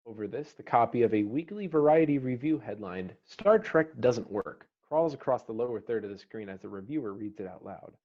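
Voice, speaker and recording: A man's voice: medium pitch, expressive, a little fast, hardly any pauses, a little mellow, dull audio. A man reading screenplay stage directions as a narrator, terse and declarative.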